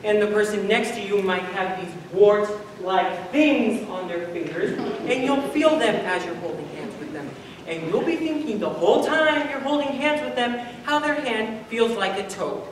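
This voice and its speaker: A woman's voice: mid-range voice, expressive, normal speed. A teenage girl in a school readers theater, telling a story with growing disgust. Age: teens. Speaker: student performer. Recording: echoey room from far off with noise.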